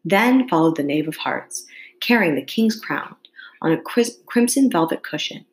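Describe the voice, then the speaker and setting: A woman with a slightly low voice reads a children's classic as a teacher would to students. Her storybook narration is careful and expressive. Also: normal speed, rough recording. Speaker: teacher reading aloud to students. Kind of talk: storybook read-aloud.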